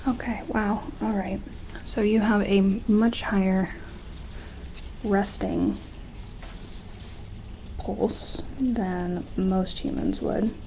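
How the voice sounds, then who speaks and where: A woman doing an ASMR medical roleplay as a gentle doctor, soft-spoken and slipping into whispers, with tentative, reassuring phrasing. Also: medium pitch, steady tone, drawn-out words, long pauses, slight background noise, poor audio. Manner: gentle, hesitant, reassuring clinician talk. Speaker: ASMR performer playing a doctor examining an alien.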